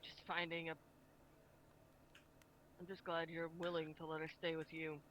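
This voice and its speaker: Man with a bright, forward tone, stumbling through improvised roleplay, slightly noisy voice chat. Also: a little high, monotone, normal speed. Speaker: roleplay participant. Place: online voice chat in a virtual world.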